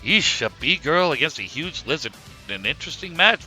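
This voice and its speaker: A man playing a teasing co-commentator, calling animated fight moves in a theatrical play-by-play. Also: on the high side, brisk, exaggerated voice. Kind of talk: sports commentary in animated show. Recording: loud music over the commentary.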